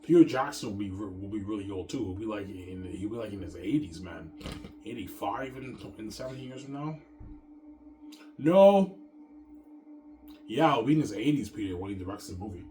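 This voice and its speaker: A man's voice: on the low side, animated, moderate pace, long pauses, dark tone, slight background noise, low-quality recording. A man in his thirties rambling to his viewers in a self-assured, repetitive way, often restarting his sentences. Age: 30s. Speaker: vlogger.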